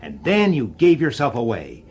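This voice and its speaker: An actor playing a gruff, irritable, middle-aged police detective in a radio drama, blunt in his delivery. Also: medium pitch, huge pitch swings, moderate pace, a little hiss or hum. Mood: angry, accusing. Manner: blunt, hesitant, then explosive. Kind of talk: radio drama acting with first-person narration.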